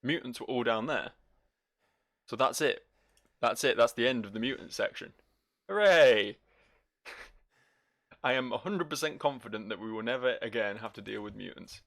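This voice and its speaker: A man's voice: medium pitch, moderate pace, creaky, long pauses, fairly bright tone. A man giving casual, joking and sarcastic commentary as he plays a video game. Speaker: gaming video host.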